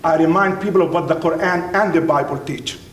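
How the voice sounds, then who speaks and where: High-pitched male imam, Arabic accent, echoey room. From Middle East, Palestinian Jordanian. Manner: emphatic, deliberate oratory with repetition and pauses. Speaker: imam and university teacher speaking at a dinner. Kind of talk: inspirational religious speech. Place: large dinner banquet room. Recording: roomy, distant mic, faint rumbling noise.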